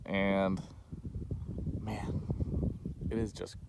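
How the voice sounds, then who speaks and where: A man's voice: low-pitched, little pitch movement, very slow. A young man talking casually and enthusiastically while he records a road-trip vlog. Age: young adult. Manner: enthusiastic, easygoing. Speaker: travel vlogger. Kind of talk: casual travel vlog with voice-over. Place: outdoors, windy open land. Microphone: phone camera at arm's length. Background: wind.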